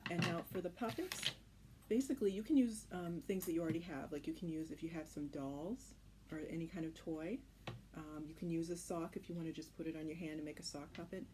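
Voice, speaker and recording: A woman's voice: sits low, steady tone, brisk. A woman instructor casually explaining a children's craft step by step in an informal, reassuring way. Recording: clearly noisy home recording.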